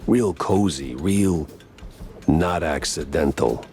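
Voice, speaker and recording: An actor playing a wry, deadpan private detective mouse in a noir cartoon game, in the style of 1930s detective films. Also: male voice, a bass voice, takes it slowly, faint music behind, exaggerated voice. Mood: probing, wry.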